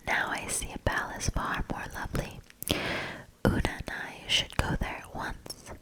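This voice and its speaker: A woman voicing a noble, eager young male knight in a storybook, in a breathy whisper. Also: a little slow, close to an everyday voice. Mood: awed, eager.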